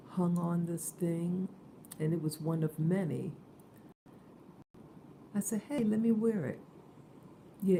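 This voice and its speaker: A woman just awake, hoarse and soft-spoken, talking hesitantly in a casual morning vlog. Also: on the low side, slight background noise, low-quality recording. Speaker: vlogger.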